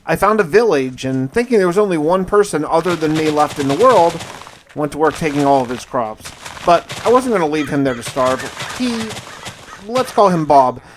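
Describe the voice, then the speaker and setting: Higher-pitched man narrating game survival story. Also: animated, moderate pace, noisy background. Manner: dramatic storytelling with colloquial asides. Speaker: gaming video host and narrator. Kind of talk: casual first-person story narration of survival gameplay.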